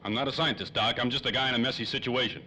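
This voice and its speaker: A man plays a hard-boiled radio-play detective with a bright, forceful voice. He talks fast and clipped, a wary wisecracker who wants straight answers. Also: mid-range voice, steady tone, slight background noise. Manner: clipped, wisecracking tough-guy talk. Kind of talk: 1930s old-time radio drama acting.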